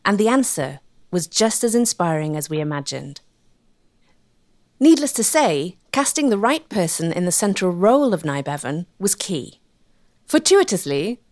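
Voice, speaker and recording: A woman delivering scripted documentary narration. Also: medium pitch.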